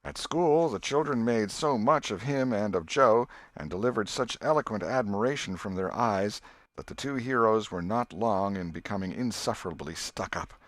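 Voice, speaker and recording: A man's voice: lowish voice, lively intonation, moderate pace, no echo. A man reading a literary story as an audiobook narrator.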